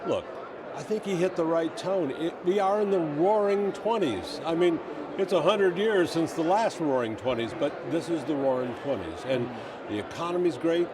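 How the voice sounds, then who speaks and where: A man's voice: a little high, lively intonation, moderate pace, a crowd in the background. A male state representative answering interview questions in an assertive, emphatic way. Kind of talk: political interview response. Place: large indoor statehouse lobby. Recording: noisy public lobby ambience.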